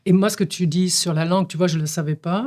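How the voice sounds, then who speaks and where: A woman's voice: fairly low pitch, fairly even intonation, fast, a little mellow. A woman whose first language is German, a stage director speaking in a reflective conversation, thinking aloud and searching for words with frequent hesitations.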